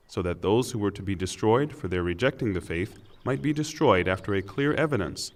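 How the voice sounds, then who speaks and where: Animated low-voiced man reading scripture formally. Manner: formal, deliberate phrasing. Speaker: translation reader.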